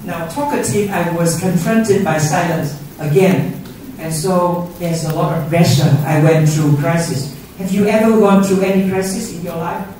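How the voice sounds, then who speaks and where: Man, Vietnamese native speaker, middle-aged, earnest conference talk, a bit high-pitched, distant mic, big echoey auditorium. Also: fairly even intonation, moderate pace, darkish tone, a little hiss or hum. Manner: earnest, asks the audience questions, frequent "yeah?" tags. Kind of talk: keynote talk to an audience. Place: university auditorium. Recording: roomy auditorium sound from far off.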